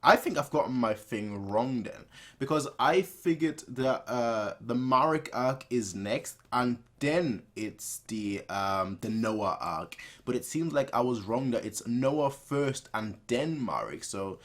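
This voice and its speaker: A man's voice: medium pitch, lively intonation, slow pace, fairly bright tone. A man casually commenting as a reaction video host, repeating himself as he works out his thoughts.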